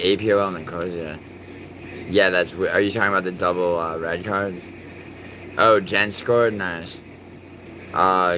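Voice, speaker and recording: A man from New York City streaming live, chatting casually and cursing in excitable outbursts between mumbled fillers. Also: low voice, a little hiss or hum, rough recording. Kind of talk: casual live stream chat, reacting to soccer and reading chat.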